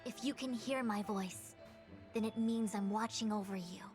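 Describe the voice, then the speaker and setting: A woman voicing a composed, stern female diviner in an anime-style video game, speaking in formal, measured, wordy phrases. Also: mid-range voice, a little mellow, natural voice. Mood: calm, instructive. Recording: music behind the voice.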